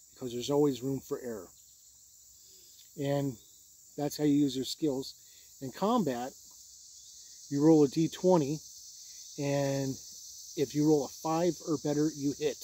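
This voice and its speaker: A man's voice: mid-range voice, steady tone, measured pace, pauses often, dark tone. A man giving an informal, unscripted review in a plain-spoken, matter-of-fact way. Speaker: tabletop game reviewer.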